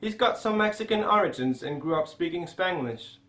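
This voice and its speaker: A man's voice: sits high, animated, brisk, fairly bright tone, roomy sound. A young man reading a scripted news report in English as the anchor. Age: young adult.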